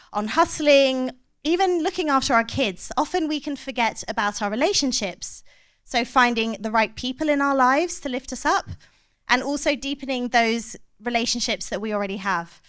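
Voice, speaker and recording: A woman's voice: high voice, normal speed, a bit bright, clear, resonant tone. A woman, a clinical psychologist giving a chatty, self-mocking short talk to an audience.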